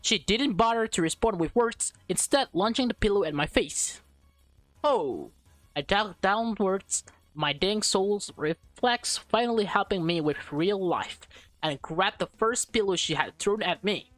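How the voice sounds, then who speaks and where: Female let's player, mid-range voice, expressive narration with casual asides, dry sound. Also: medium pitch, a little slow, no echo. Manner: expressive, interjecting reactions, stumbling over words. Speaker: let's play streamer. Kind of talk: visual novel read-aloud with casual asides.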